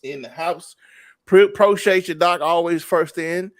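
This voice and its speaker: A man's voice: on the high side, unhurried, no echo. A man hosting a casual livestream talk show, chatty and greeting viewers by name. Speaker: show host.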